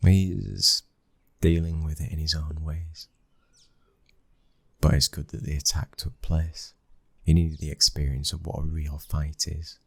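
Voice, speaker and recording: Very deep, husky British mafia lover played by a man. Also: flat delivery, measured pace, dry recording, full character voice. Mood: firm, protective. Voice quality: husky, breathy, often near whisper. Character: brooding young mafia boss's son, protective, obsessive lover. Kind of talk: intimate ASMR boyfriend roleplay.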